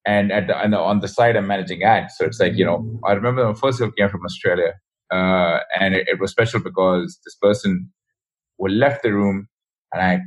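Fast-talking man with a husky voice. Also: deep voice, lo-fi sound. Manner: fast, rambling, frequent restarts. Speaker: guest, ecommerce founder. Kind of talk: casual interview talk.

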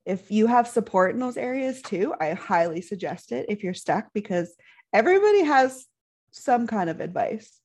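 A young woman chats on a podcast in an even, mid-range voice. She is talkative and friendly, and giggles often between her stories. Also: mid-range voice, moderate pace. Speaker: podcast co-host, nurse. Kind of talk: casual chat.

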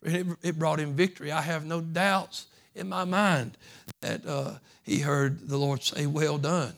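A man preaching a sermon in a slightly high voice. He talks in a folksy, repetitive way, moving from soft conversational stretches into loud, fervent preaching. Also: a little slow, creaky. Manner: folksy, repeats phrases. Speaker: pastor.

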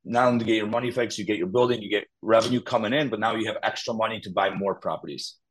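Easygoing low-voiced man in his thirties. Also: fast talker, breathy edge, rough recording. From Poland. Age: 30s. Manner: easygoing, laughs easily, many fillers. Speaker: guest, public adjuster. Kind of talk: casual interview talk.

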